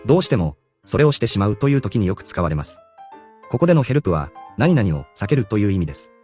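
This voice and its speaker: A man narrating a scripted language lesson, explaining phrases in a brisk, explanatory way. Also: fairly low pitch, very animated, darkish tone, soft background music. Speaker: English-learning narrator.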